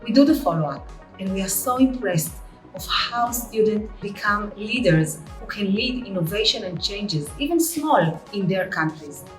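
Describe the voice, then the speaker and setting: A woman, the director and founder of a training center, presenting a guided tour in measured, slightly English. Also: medium pitch, animated. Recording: echoey room with music underneath.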